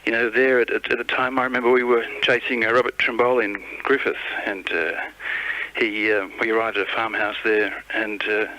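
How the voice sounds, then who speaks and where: An Australian man sharing casual anecdotes as a radio guest, halting and understated, over a somewhat muffled line. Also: on the low side, level tone, bright, forceful tone. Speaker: guest, former colleague.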